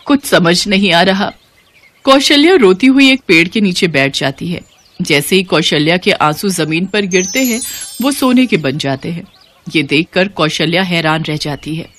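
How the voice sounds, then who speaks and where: A woman's voice: on the low side, animated, normal speed, a bit bright, a little hiss or hum. A woman reading scripted storybook narration as the narrator of a cartoon tale.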